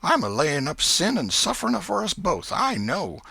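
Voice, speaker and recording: A man acts out a fretful old Southern aunt from a storybook, pitched well up and swooping widely. She is folksy and exclamatory, a pious scold with a soft heart, and the sound is bright and forward. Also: huge pitch swings, moderate pace, creaky, husky, dry recording, heavily acted. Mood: fretful, pitying. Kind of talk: audiobook character voice.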